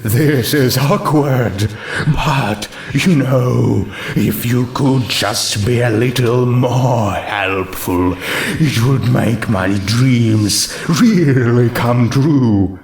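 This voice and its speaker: A man voicing a blissful, tactless female pony in a slow, dreamy, awkward way, in a story reading. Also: mid-range voice, roomy sound, a little hiss or hum. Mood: awkwardly pleading. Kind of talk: fan fiction character reading.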